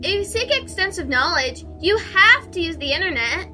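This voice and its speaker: A woman's voice: very high voice, big pitch swings, normal speed, bright, forceful tone, soft background music, exaggerated voice. A woman playing an eccentric, childlike schoolgirl in an audio drama, with a whimsical, theatrical way of talking. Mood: haughty, whimsical. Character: eccentric, childlike schoolgirl who tells fanciful lies.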